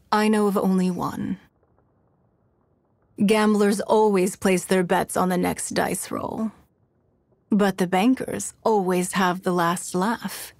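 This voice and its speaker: A woman voicing a poised, calculating, wealthy noblewoman in a fantasy video game, speaking in a measured, formal and haughty manner. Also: mid-range voice, darkish tone, no echo, close to an everyday voice. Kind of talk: video game character voice lines.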